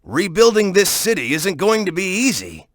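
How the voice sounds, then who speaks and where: A man's voice: high-pitched, sing-song, moderate pace, no echo, studio quality. A man playing a tough, hot-headed young hero in a video game, speaking in a brash, urgent, punchy way. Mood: pressing, urgent. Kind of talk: video game character voice clips.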